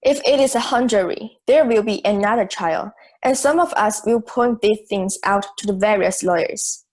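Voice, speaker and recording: A young woman playing a logical, self-assured juror in an amateur audio drama, speaking in a measured, articulate way. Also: mid-range voice, darkish tone, lightly acted, low-quality recording. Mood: conceding, calm. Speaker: student actor in school drama class.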